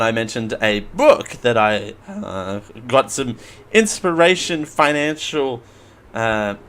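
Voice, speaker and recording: A hoarse man hosting a casual live show, rambling, joking at himself and stumbling while he reads out news stories. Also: medium pitch, fairly bright tone. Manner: rambling, self-mocking, stumbles over names. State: hoarse after flu. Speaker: host. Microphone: headphones at a desk mic.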